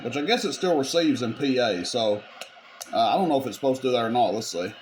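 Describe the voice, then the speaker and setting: A man's voice: medium pitch, lively intonation, quick pace, very noisy. A man giving a casual hands-on equipment demonstration, explaining things step by step in an informal, folksy way. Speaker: radio repair video host demonstrating gear.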